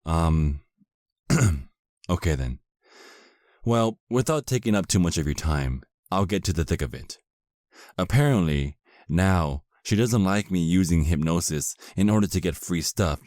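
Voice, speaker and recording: Low-voiced, aggrieved vampire husband, played by a man. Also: darkish tone, no room sound, studio quality. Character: aggrieved, put-upon vampire husband, adult male. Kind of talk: comedic audio roleplay acting.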